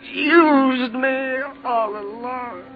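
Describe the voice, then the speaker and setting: Slow, halting dying man. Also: very high-pitched, exaggerated voice. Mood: weak, bitter. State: weak, halting, gasping. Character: dying, betrayed, bitter man confessing. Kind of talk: 1950s radio acting. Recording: dull 1950s radio recording, faint music.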